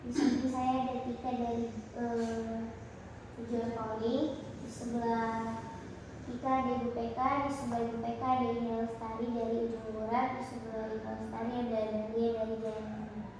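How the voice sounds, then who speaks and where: A woman with a raised, flat-toned voice recites names politely, pausing and correcting herself now and then. She is far from the mic in a reverberant room. Steady background noise runs beneath her. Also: a little high. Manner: hesitant, polite recitation with fillers. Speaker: student playing a counselee. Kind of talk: role-played group introductions. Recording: echoey room from far off, noisy.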